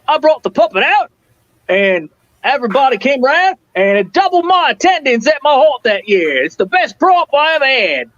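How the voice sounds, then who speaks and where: A man voicing an excitable Australian customer who runs a haunt, in a put-on Australian accent. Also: very high-pitched, normal speed, no room sound, dull audio, pitched above the performer's own voice, heavily acted. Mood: enthusiastic. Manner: boastful, enthusiastic quoting.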